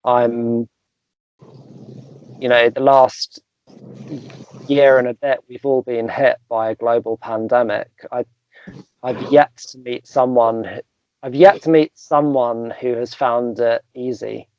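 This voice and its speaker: A young man, a coach guest in an interview, talking thoughtfully with many pauses, fillers and restarts. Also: mid-range voice, fairly even intonation, a little mellow, muffled recording. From England. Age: young adult. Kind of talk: casual interview chat.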